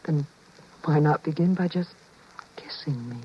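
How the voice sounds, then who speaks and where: An actress playing an alluring, knowing and devoted wife in a 1950s radio drama, coaxing and unhurried. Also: female voice, deep voice, a little hiss or hum. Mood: seductive. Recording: dull 1950s radio recording.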